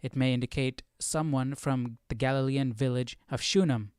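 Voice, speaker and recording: A man's voice: medium pitch, steady tone, moderate pace, a little mellow, smooth, no echo, studio quality. A man hosting a Bible study stream, reading aloud and musing in an informal, questioning way. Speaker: host of Bible study livestream.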